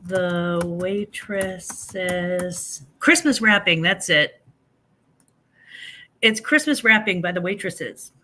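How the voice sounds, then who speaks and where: An older woman chats on a livestream, rambling from one thought to the next and poking fun at herself as she answers viewers. Her voice is bright and forceful, and she sounds a little stuffy from allergies. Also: lowish voice, drawn-out words, slight background noise. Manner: chatty, rambling, self-deprecating, reads and answers chat. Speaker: livestream host. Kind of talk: casual livestream chat.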